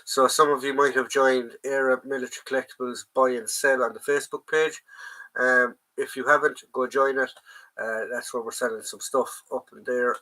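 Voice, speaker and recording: A man's voice: mid-range voice, bright, forceful tone, low-quality recording. An Irish man with a stuffy nose from a head cold, hosting a casual live chat from home, rambling with plenty of ums and greeting viewers by name. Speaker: livestream host, collector.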